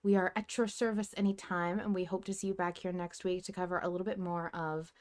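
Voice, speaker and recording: A woman's voice: on the low side, little pitch movement, fairly quick. A woman chatting casually and playfully as the host of a fan podcast, in an informal way.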